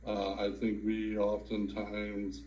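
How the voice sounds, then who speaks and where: A man in his thirties calmly sharing his own story in a personal testimonial, with some fillers. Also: lowish voice, roomy sound, rough recording. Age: 30s. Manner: slow, calm, with fillers. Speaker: adult with congenital heart disease.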